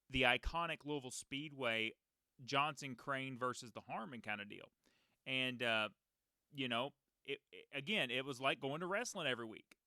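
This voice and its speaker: Deep-voiced rambling man in his thirties. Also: sharp tone, no echo, clean studio sound. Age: 30s. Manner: rambling storyteller, many fillers and restarts. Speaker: race announcer and podcast host. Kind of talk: casual podcast chat.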